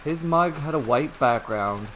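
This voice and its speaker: A man reading his own short fairy tale aloud as storyteller, in a steady, even narration. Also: fairly high pitch, a little slow, slight background noise.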